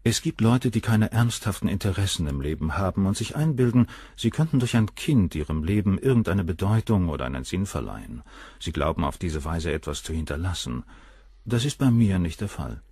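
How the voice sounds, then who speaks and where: A man's voice: sits low, very animated, brisk, darkish tone, breathy edge, no room sound, clean studio sound, exaggerated voice. An actor in a German crime radio play playing a cold, cynical middle-aged surgeon, detached and coolly superior as he answers questions. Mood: detached, explanatory.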